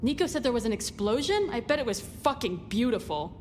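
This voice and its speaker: A woman's voice: fairly high pitch, big pitch swings, fairly quick, long reverb tail, faint music behind. An actress playing an aloof, abrasive grunge vampire woman in a video game, blunt and dismissive. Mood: intrigued.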